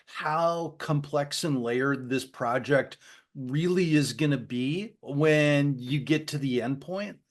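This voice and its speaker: A man's voice: on the high side, slow. A man interviewing an actor about her film, asking friendly questions with frequent fillers. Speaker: interviewer, film site host.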